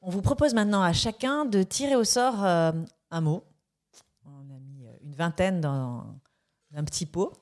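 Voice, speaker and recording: A woman hosting a podcast interview, asking questions in a measured, thoughtful way. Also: lowish voice, darkish tone. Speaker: podcast host.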